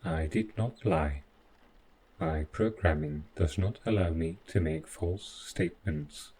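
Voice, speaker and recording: A man playing an overprotective, stiffly polite robot butler in an audio roleplay, speaking in formal, deliberate phrases. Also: deep voice, mellow, a little hiss or hum. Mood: evasive, insistent. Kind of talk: scripted audio roleplay addressed to the listener.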